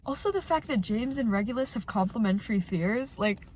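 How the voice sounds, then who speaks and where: A woman with a stuffy, nasal voice from a cold, hosting a casual reaction vlog from inside her car, excitable and full of filler words. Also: fairly high pitch, brisk, a little hiss or hum. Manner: gushing and excitable, many filler "like"s. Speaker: channel host reacting to fan fiction.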